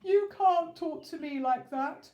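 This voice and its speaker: A woman's voice: extremely high pitch, very animated, slow pace, roomy sound, pitched above the performer's own voice, exaggerated voice. A woman voicing a weepy, self-pitying king who sobs and wails his words, read aloud as a storybook character. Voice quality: sobbing, wailing delivery.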